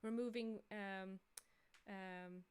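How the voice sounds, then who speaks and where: Woman hosting casually, medium pitch. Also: steady tone, drawn-out words, a little mellow, smooth. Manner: informal, rephrases questions as she goes. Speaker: livestream host. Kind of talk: casual interviewing.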